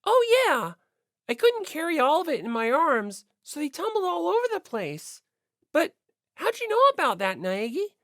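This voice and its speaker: Audiobook role: cheerful, breezy teenage girl athlete, pitched high and animated played by a woman. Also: normal speed, no echo, clean studio sound. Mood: bright, carefree. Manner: exclamatory, breezy. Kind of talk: audiobook character voice.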